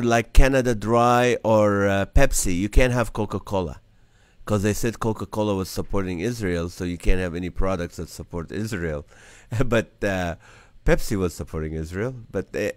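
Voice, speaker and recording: A man's voice: lowish voice, dry recording. A man who grew up in the Middle East, hosting a casual live-stream comedy chat and laughing between his jokes. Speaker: comedian host.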